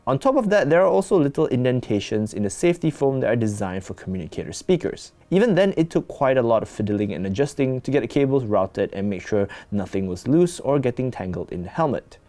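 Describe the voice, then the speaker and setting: A man hosting a product review with a mellow, relaxed voice and lively, varied intonation. He reads his script in a conversational, lightly wry way. Faint music sits under the narration. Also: mid-range voice, a little fast, few pauses.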